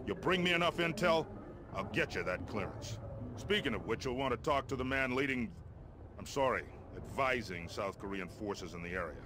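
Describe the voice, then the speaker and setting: Gruff American colonel for a military game, played by a male actor; mid-pitched, blunt, wry, impatient commander, faint background noise. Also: expressive, moderate pace, lightly acted. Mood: commanding, then wry, weary. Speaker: army colonel, commander giving mission briefings. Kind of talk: video game character dialogue.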